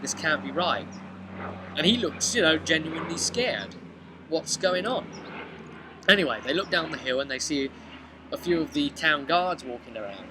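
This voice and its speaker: A British man in his thirties casually retelling a tabletop role-playing session, halting in places and animated in others, recorded outdoors on a camera microphone. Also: medium pitch. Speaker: game master recapping a session. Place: outdoors, on a bench by a house. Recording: outdoor webcam with background noise.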